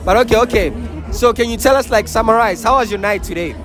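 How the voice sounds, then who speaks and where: A man's voice: sits high, quick pace. A young man doing a playful, joking street interview in a lounge bar at night, speaking into a handheld phone. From Portugal. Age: young adult. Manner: playful, joking, laughs often. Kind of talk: casual vox-pop interview. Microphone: handheld phone mic. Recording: loud club music and crowd behind.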